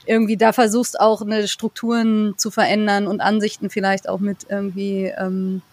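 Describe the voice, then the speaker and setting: A woman's voice: medium pitch, little pitch movement, normal speed, darkish tone, no echo. Dry-voiced woman podcast host. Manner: thinks aloud, searching phrasing. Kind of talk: casual podcast conversation.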